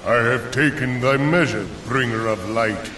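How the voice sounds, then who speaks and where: A man's voice: mid-range voice, unhurried, close to an everyday voice. A man voicing a solemn, stern ancient god in a fantasy video game, speaking in grave, archaic pronouncements. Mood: solemn judgment. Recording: distant, echoing voice over loud music.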